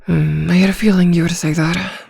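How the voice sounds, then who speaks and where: Woman acting as a soft-spoken, low-pitched female therapist. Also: steady tone, gravelly, husky, no room sound, clean studio sound. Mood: reassuring, advising. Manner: measured, reassuring, hesitant pauses with soft hums. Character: composed female therapist, gentle, attentive and discreetly probing.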